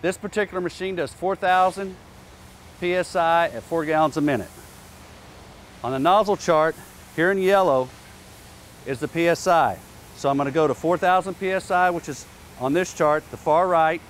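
A man's voice: a little high, normal speed, pauses often, slight background noise. A man in his forties giving a plainspoken, practical how-to demonstration outdoors on a lapel mic. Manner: plainspoken, practical, casual asides. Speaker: company presenter. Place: outdoor industrial yard.

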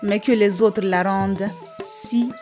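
A woman storyteller reading a scripted African folktale for an animated story. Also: medium pitch, slow pace. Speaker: storyteller, narrator. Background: music.

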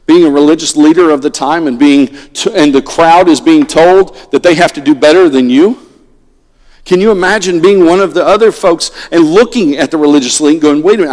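A middle-aged man preaching a sermon from a pulpit, swinging between loud animated lines and hushed ones. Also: sits high. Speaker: pastor. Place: church sanctuary at a pulpit. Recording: long reverb of a church hall.

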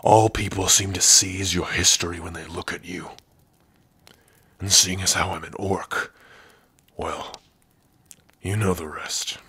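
A man voicing a big, gruff orc prisoner in an ASMR roleplay, brooding and sardonic, with a husky, rough voice. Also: low-pitched, steady tone, unhurried, long pauses, sharp tone, exaggerated voice. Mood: menacing, bitter. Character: big gruff male orc prisoner, guarded but lonely. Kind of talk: ASMR roleplay addressed to the listener.

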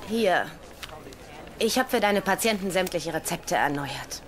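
Young doctor, proud, self-assured, very lively intonation, crowd in background, TV comedy dub. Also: female voice, lowish voice, measured pace. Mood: reluctant. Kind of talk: German dubbed TV comedy acting.

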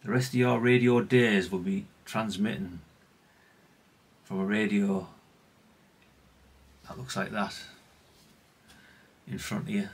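Gravelly, low-voiced British man rambling casually. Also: steady tone, slow pace, pauses often. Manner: rambling, chatty, plain-spoken, tag questions. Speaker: hobbyist radio repair vlogger. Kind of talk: casual unscripted workbench commentary.

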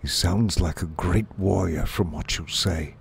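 Guy doing a gentle wistful mouse, storybook, soft n sighing, lowish, slow. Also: gravelly, a little hiss or hum. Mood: wistful, admiring. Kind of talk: storybook character voice.

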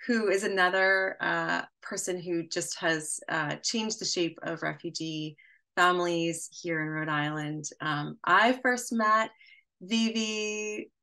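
A middle-aged woman moderating a panel over a video call from a room at home, talking warmly with many fillers and pauses. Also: fairly low pitch, fairly even intonation. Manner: warm, hesitant with many fillers. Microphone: laptop webcam on video call.

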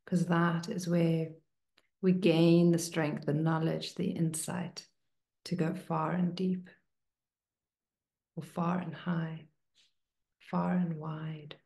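Slow, hushed woman guiding meditation, roomy sound. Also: deep voice, flat delivery, gravelly. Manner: hushed, soothing, with long pauses between short phrases. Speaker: meditation teacher. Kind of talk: guided meditation, slow and spoken to listeners.

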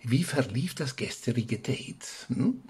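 A man playing a fussy, overprotective father in an audio drama, with a raspy, creaky voice. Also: medium pitch, lively intonation, slow pace, darkish tone, full character voice. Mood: prying, anxious. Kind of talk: German audio drama acting.

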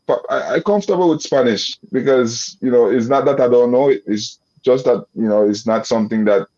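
A young man from Nicaragua speaks English with a Creole accent, his voice dark and mellow. He rambles warmly and restarts his sentences, leaning on "you know". The video call audio sounds dull and lo-fi. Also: medium pitch, a little fast. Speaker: guest. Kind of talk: casual video-call interview. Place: indoor room.

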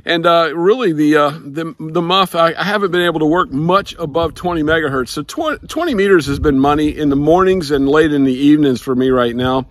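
A man's voice: a little high, brisk, few pauses, no echo. An older American man talking casually as he hosts an outdoor hobby vlog. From United States. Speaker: amateur radio operator and video host. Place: outdoors in a backyard garden. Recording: outdoor recording with clear background noise.

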